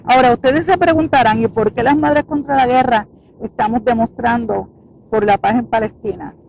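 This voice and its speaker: A woman's voice: a little high, normal speed, creaky, a little mellow, a little hiss or hum, poor audio. A Puerto Rican woman who founded an activist group, giving an earnest, emphatic speech to the camera outdoors in front of a building. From Puerto Rico.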